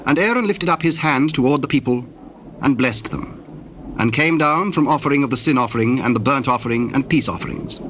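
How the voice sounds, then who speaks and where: A man narrating scripture from a script in a formal, solemn and deliberate manner. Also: medium pitch, fairly bright tone, a little hiss or hum.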